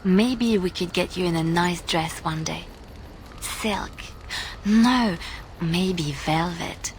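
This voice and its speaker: A woman's voice: on the low side, slow pace, a little hiss or hum, poor audio. An actress playing a sweet, girlish young adventurer in a fantasy game, gushing and coaxing. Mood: dreamy admiration. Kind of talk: fantasy video game voice acting.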